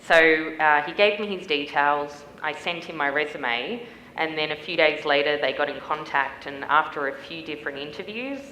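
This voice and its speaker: A woman's voice: low-pitched, normal speed, bright, forceful tone. A middle-aged Australian woman speaking as a guest on stage, chatty and upbeat with many small fillers. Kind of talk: stage interview. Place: stage in a large hall. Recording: echoey hall with faint noise.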